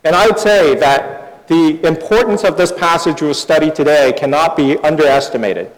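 A middle-aged man from Taiwan who grew up overseas, preaching a sermon in short phrases with pauses for an interpreter. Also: a little high. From born in Taiwan, grew up overseas. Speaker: guest preacher. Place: large reverberant church hall. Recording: echoey hall with long reverb.

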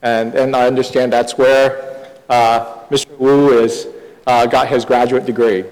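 A middle-aged man from Taiwan who grew up overseas, preaching a sermon in short phrases with pauses for an interpreter. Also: medium pitch, flat delivery, darkish tone. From born in Taiwan, grew up overseas. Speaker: guest preacher. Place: large reverberant church hall. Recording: echoey hall with long reverb.

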